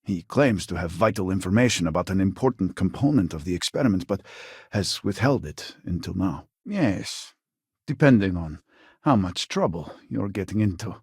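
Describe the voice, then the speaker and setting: A weary, devout man of science in a sci-fi video game. His husky voice sits fairly low yet moves widely in pitch. He speaks formally and earnestly, with measured, scripted phrasing. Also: lively intonation, darkish tone, no room sound, clean studio sound, exaggerated voice.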